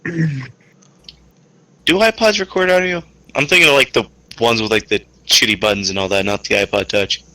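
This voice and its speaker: Male player, very forceful bright tone, loud casual swearing, distant microphone. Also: medium pitch, moderate pace, long pauses. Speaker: tabletop game player. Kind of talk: casual chat. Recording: distant mic on voice call.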